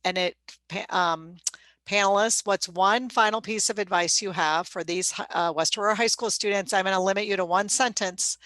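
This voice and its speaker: A woman's voice: medium pitch, moderate pace, fairly bright tone, no echo. A woman moderating a student panel, encouraging and often restarting her sentences as she introduces each question.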